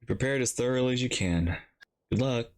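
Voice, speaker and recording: A man's voice: medium pitch, fairly quick. A man giving casual reaction commentary as he plays through a video game story.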